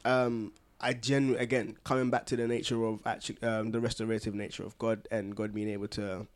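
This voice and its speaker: A man's voice: lowish voice, little pitch movement, brisk. A man chatting casually as a podcast panellist, with an informal, slangy and joking way of talking. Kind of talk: casual chat.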